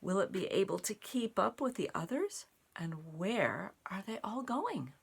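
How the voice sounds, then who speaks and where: A woman reading a children's picture book aloud, phrasing it deliberately in short pieces with animated emphasis. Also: mid-range voice, creaky, slight background noise. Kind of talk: expressive read-aloud for young children.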